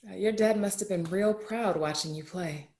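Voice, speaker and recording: A woman reading the part of a caring, playful mentor in a screenplay table read, speaking supportively and deliberately. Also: mid-range voice, a little mellow, natural voice. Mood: gently probing. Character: encouraging, playful mentor called Doc, steady and caring.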